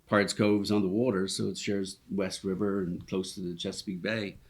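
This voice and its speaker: Man, Irish Dublin accent, very deep voice, relaxed rambling guest, fifties. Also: a little fast, husky. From Ireland. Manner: easygoing, rambling storyteller with frequent ums. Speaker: restaurant co-owner, guest. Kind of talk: casual interview chat. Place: inside one of his restaurants.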